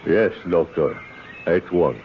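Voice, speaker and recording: A man's voice: deep voice, slow. A man playing a powerful, courteous camp foreman in a radio drama, speaking formally and deferentially. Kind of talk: 1970s radio drama acting. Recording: narrow-band, noisy old radio recording.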